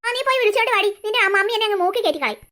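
A woman's voice: extremely high pitch, expressive, very fast, sharp tone, full character voice. A woman voicing a feisty, outspoken schoolgirl daughter in a family cartoon, chattering emphatically and arguing. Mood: angry, defiant. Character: feisty, outspoken schoolgirl daughter, quick to defend her mother.

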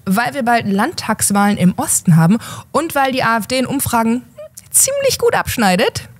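A woman's voice: on the high side, very animated, normal speed. A young woman hosting an opinion show, talking sarcastically and emphatically into a desk mic in a home studio. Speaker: host. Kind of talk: opinion commentary. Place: home studio with gaming chair.

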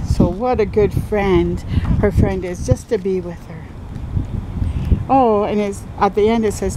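An older woman reads a picture book aloud to children in an expressive, encouraging way, adding her own asides. Her voice sits at a medium pitch. She is recorded outdoors with loud wind and ambient noise around her. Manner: expressive, encouraging, speaking to young viewers. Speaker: storytime host. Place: outdoors under trees by a river.

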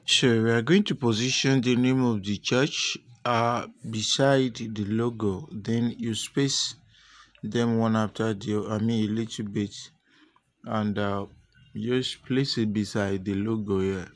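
A man narrating a step-by-step software tutorial unscripted, with halting, repetitive instructional phrasing. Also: fairly low pitch, fairly even intonation. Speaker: tutorial presenter.

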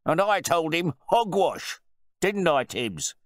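A pompous, blustering rich man, loud and boastful, his voice pushed high and swooping widely. He speaks with rough grammar and overbearing confidence in a dry storybook reading. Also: sing-song, slow pace, no echo. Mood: mocking, boastful. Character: pompous, blustering, newly rich male wine bore.

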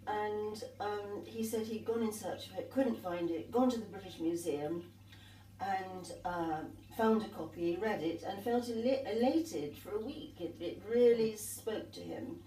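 Older woman reminiscing, mellow voice. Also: mid-range voice, measured pace. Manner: rambling, warm reminiscing with restarts. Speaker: artist and guest. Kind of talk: conversational storytelling. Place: sunlit glass conservatory at a tea table. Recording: echoey room, distant mic, faint hiss.

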